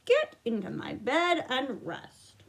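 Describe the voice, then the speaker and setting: An earnest storybook toad played by a woman, fretful and caring. He speaks deliberately in a fairly high voice that moves up and down with his worry. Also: huge pitch swings, creaky, exaggerated voice. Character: earnest, worried male toad, a caring friend.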